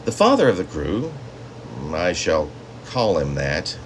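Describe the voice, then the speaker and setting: A man reading his own literary story as a poet, with theatrical, drawn-out phrasing and dramatic emphasis. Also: sits low, wildly swooping pitch, a little hiss or hum.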